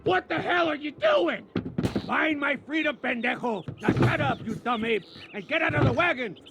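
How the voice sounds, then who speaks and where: An actor playing a desperate, bullying villain on the run in a western game, frantic and blustering. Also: male voice, very high-pitched, animated, normal speed, heavy background noise. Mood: furious.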